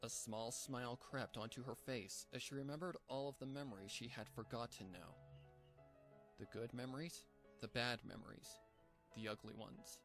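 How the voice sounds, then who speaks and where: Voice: mid-range voice, fairly even intonation, normal speed, a bit bright. A narrator reading a fan fiction story as scripted storybook narration, with music behind the voice.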